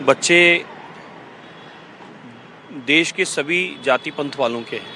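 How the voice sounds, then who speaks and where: A man's voice: on the high side, slow pace, pushed and bright. A male politician voicing an informal complaint to the press, plain-spoken, listing points repetitively. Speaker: politician, legislator. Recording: faint outdoor traffic noise.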